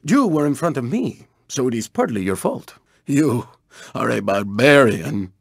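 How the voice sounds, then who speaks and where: A man's voice: medium pitch, very animated, unhurried, gravelly, husky, no room sound, clean studio sound, exaggerated voice. A man voicing a cocky, flamboyant race car in a video game, with a Spanish accent and a boastful, dramatic manner. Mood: taunting. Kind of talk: theatrical video game character barks.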